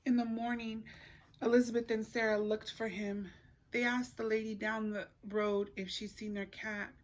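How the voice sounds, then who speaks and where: A woman teacher reading a picture book aloud to young children, expressively, pausing to put questions to the listeners. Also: a little high, flat delivery. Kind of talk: read-aloud story time for young children.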